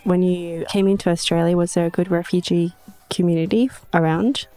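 A woman's voice: fairly low pitch, fairly even intonation, a little fast, warm tone. Woman interviewing guests in conversation. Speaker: podcast host and interviewer.

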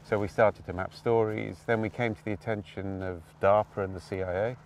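A man from Wales telling his story outdoors in an interview, animated, with quick asides and tag questions. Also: fairly low pitch, far from the mic, slight background noise. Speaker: guest. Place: outdoors on open hills.

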